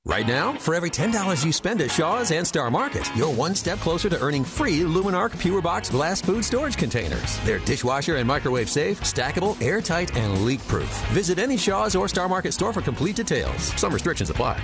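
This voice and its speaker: Male commercial announcer, higher-pitched and lively delivery over a clear music bed. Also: a little fast, hardly any pauses. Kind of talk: scripted radio commercial read.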